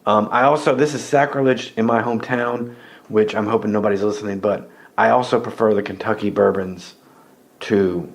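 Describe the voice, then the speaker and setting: A man from Tennessee, hosting a casual podcast chat, animated and often laughing. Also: on the low side, moderate pace, vocal fry. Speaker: podcast host.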